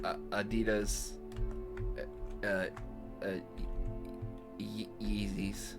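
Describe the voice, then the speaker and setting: Male game streamer, unhurried and halting, incredulous joker, faint music and typing behind. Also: lowish voice, level tone, vocal fry. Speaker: streamer playing an AI storybook game. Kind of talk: casual playthrough commentary.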